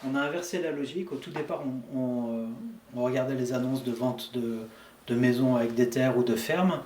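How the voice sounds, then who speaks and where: A man's voice: medium pitch, brisk, darkish tone. Middle-aged man, easygoing storyteller, distant mic in a roomy space, faint background hum. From France. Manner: easygoing storyteller, frequent fillers. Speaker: interviewee, distillery farmer. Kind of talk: casual conversation.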